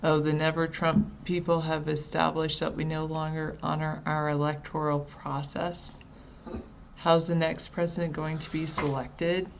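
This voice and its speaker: Woman co-host, very deep gravelly voice, relaxed and chatty, with a faint hiss behind. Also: unhurried. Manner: relaxed, chatty, answers viewers by username. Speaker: chat show co-host reading viewer chat. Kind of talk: casual live chat show.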